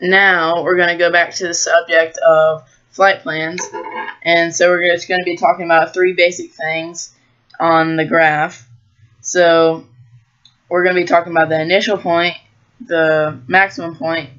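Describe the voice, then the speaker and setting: An American teenage high school student giving an explanatory school presentation. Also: flat delivery, measured pace, a bit bright, muffled recording.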